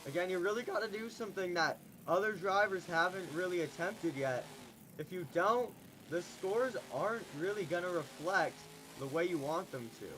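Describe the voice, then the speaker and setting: A man's voice: fairly bright tone, slight background noise, rough recording. A man announcing a monster truck show in the style of an arena announcer, stretching out his hype calls, and he is losing his voice. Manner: booming, drawn-out hype calls. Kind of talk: hyped arena announcer commentary.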